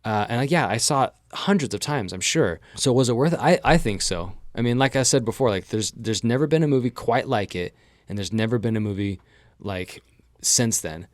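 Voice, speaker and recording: A man's voice: mid-range voice, animated, brisk, no echo, studio quality. A man co-hosting a podcast, chatting loosely with lots of fillers, swearing and jokes. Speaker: podcast co-host. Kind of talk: casual chat.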